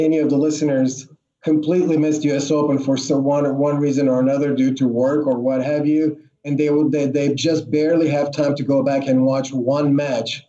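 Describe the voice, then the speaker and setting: A man from Turkey speaks as a podcast guest, weighing each point and restarting phrases as he goes. His voice sits a little high but very dark and flat in tone. The audio is muffled and lo-fi. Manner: thoughtful, restarts phrases often. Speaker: guest, tennis coach and writer. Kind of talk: podcast conversation.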